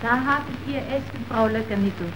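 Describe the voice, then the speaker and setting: An actress playing an earnest, apprehensive young woman in a radio drama, grave and deliberate in delivery. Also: a little high, close to an everyday voice. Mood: apprehensive, gentle. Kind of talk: scripted radio drama acting. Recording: dull, faintly hissy old radio recording.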